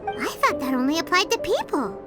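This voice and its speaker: Casting: tiny fairy sidekick for a game. Very high, squeaky child voice, wildly animated, cheeky and chatty. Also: female voice, brisk. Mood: curious. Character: tiny excitable floating fairy companion girl, childlike and chatty. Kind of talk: video game character dialogue. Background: music.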